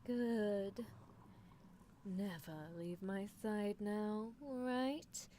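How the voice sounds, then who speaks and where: A woman's voice: medium pitch, drawn-out words, mellow, slight background noise. A woman playing a doting, possessive young female mad scientist in an ASMR roleplay, coaxing and theatrical, slipping toward whispers. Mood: soothing, possessive.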